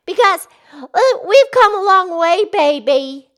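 Podcast cartoon pig, played by a woman: high-pitched, colloquial, exclaiming, eager and folksy. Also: expressive, takes it slowly, a bit bright, full character voice. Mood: excited, chatty. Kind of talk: playful podcast banter.

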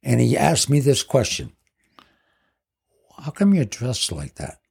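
Older man in his 70s, guest storyteller, gravelly husky voice, rambling. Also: mid-range voice, huge pitch swings, a little slow, a little mellow. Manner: rambling, leans on "basically". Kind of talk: casual storytelling.

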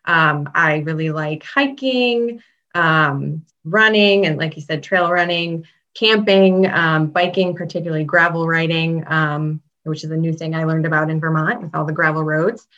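A woman from Chicago talks as an interview guest, friendly and easygoing, with frequent ums and little laughs. Her voice sits low for a woman. The recording sounds dull and lo-fi. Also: normal speed, a bit bright. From Chicago, Illinois. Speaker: guest, state public health veterinarian. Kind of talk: interview answers, casual.

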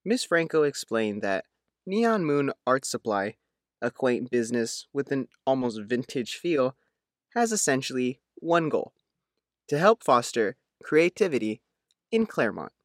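Man reading a lively news feature. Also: medium pitch, measured pace, dry recording, studio quality. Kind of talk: scripted news narration.

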